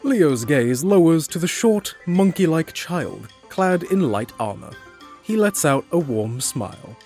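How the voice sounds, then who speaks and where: Animated man narrating a story. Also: on the high side, measured pace, soft background music.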